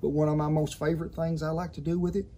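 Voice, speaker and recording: A man's voice: on the high side, flat delivery, fast, dark tone, slight background noise. A man in his sixties with a Southern American accent hosts a cooking tutorial, talking in a folksy, colorful cowboy storyteller's way. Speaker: cooking show host. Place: outdoor patio kitchen in a yard. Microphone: lavalier clipped to shirt.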